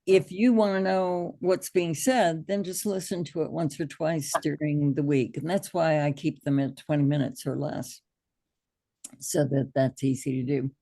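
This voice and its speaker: Gravelly, low-voiced American woman teaching a class. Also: steady tone, moderate pace, mellow. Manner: encouraging, reassuring. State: hoarse from allergies. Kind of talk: informal online class instruction.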